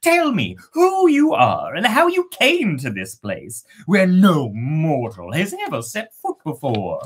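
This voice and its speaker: A woman's voice: low voice, huge pitch swings, takes it slowly, husky, voice pushed up, full character voice. A woman voicing a haughty young witch maiden in a fairy tale. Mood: indignant.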